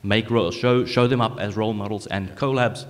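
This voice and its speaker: A man's voice: lowish voice, fairly even intonation, moderate pace. A man from South Africa who runs a co-working space, giving informal remarks from the audience at a conference. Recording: echoey hall with long reverb.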